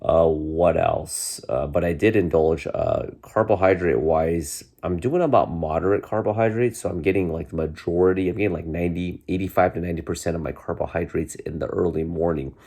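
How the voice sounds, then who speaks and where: Congested guy in his 40s, really low voice, rambles along with lots of ums, talking to his viewers from his bedroom. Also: lively intonation, a little fast, few pauses, mellow. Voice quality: deep voice. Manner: rambling, many restarts and fillers. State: congested, getting over a cold. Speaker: vlogger. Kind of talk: casual chat to camera. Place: bedroom, indoors.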